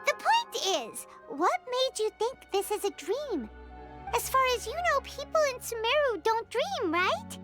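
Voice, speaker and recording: Game companion: little fairy, extremely high childlike voice, excitable and blunt, very animated, over music. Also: female voice, measured pace, full character voice. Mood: curious. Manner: exclamatory, expressive. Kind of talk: video game voice acting.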